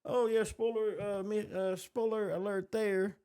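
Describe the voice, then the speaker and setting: A man's voice: high voice, little pitch movement, unhurried, warm tone, clean tone. An American man hosting a podcast, chatting casually about TV shows in reaction to them. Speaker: podcast host.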